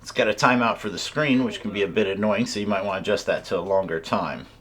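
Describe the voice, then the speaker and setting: A man with a fairly low voice walks through a product in a casual, hands-on way. He explains each step informally, like a reviewer showing a viewer around. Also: quick pace, fairly bright tone, a little hiss or hum. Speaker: radio reviewer.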